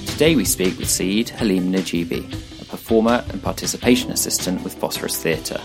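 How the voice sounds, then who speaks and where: Deep gravelly guy hosting a podcast, faint guitar music behind him. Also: fairly quick. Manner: scripted, even-paced introduction. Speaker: podcast host.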